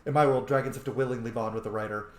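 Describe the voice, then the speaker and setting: A man's voice: medium pitch, steady tone, racing. A man giving casual gameplay commentary in an effusive, rambling, informal way. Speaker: gamer doing a playthrough. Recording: roomy, echoey voice pickup.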